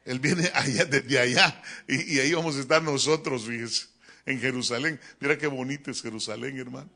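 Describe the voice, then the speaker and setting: A man preaching a sermon as a pastor, animated and joking, often addressing his listeners as brother. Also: medium pitch, a little fast. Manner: animated, joking, keeps calling listeners "hermano". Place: church.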